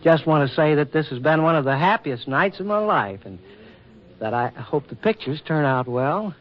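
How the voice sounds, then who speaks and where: A man performs a stiff, earnest official from a 1940s radio drama. His voice sits a little high and he speaks with formal, awkward courtesy. The old recording is dull and lo-fi. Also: lively intonation, normal speed, lightly acted. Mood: shyly tender. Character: stiff, earnest, smitten male government official. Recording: muffled vintage radio recording, faint music.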